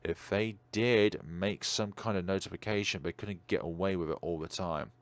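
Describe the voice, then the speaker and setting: A man's voice: sits low, moderate pace, no room sound. A man reading visual novel narration aloud dramatically, stumbling over words now and then and correcting himself. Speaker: video game streamer reading dialogue aloud. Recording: music behind the voice.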